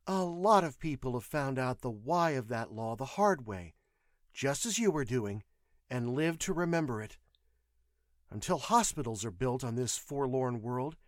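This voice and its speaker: A man voicing a firm, caring woman nurse in an audio story, explaining and gently admonishing. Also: medium pitch, no room sound, clean studio sound, voice pushed up, natural voice.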